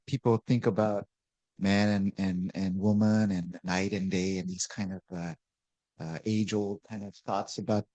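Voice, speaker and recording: A man, an artist, presenting a talk in a relaxed, loose way with frequent fillers. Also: fairly low pitch, dry recording, rough recording. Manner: relaxed, lots of uh fillers and restarts.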